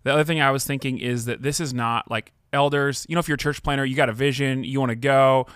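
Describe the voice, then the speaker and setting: A man's voice: medium pitch, fairly even intonation, fast talker, a bit bright, smooth, no echo, studio quality. A male pastor co-hosting a podcast, talking casually and enthusiastically with slang. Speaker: pastor, co-host.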